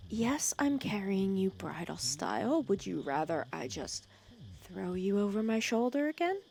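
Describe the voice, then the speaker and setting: Girl doing a villainess for asmr roleplay, bit of fry, really lively pitch, scolds you but cares, sarcastic, goes hushed. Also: measured pace. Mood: exasperated scolding. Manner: scolding yet caring, sarcastic, dropping into hushed breathy asides. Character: teasing, protective female villain nursing her sick hero nemesis. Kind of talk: ASMR roleplay audio drama.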